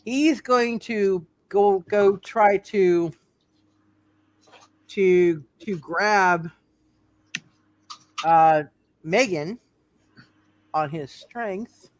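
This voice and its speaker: High-voiced halting man running a game. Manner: halting, repeats himself. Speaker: game master. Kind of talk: improvised tabletop game narration.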